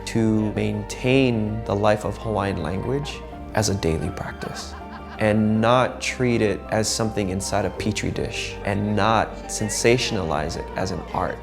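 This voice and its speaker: A man's voice: on the low side, unhurried, gravelly, music in the background. A man speaking as a language teacher and program founder in a documentary interview, reflective and earnest.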